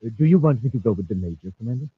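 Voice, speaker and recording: A man's voice: mid-range voice, expressive, rapid-fire, slightly rough. A man playing a cautious, formal space service professional in a 1950s radio adventure serial. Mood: concerned, formal. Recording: tinny, narrow old radio recording.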